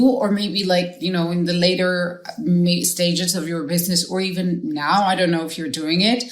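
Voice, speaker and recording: A woman's voice: on the low side, reverberant. A woman hosting a podcast interview, talking in a loose, rambling way with lots of fillers. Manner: rambling, many fillers like "like" and "you know". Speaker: podcast host. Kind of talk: casual interview.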